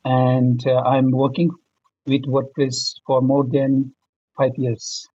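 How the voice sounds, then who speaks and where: Dark, husky man from Bhutan, lo-fi mic. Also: medium pitch, completely flat delivery, slow pace. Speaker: guest. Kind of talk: prepared testimonial. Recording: dull lo-fi mic.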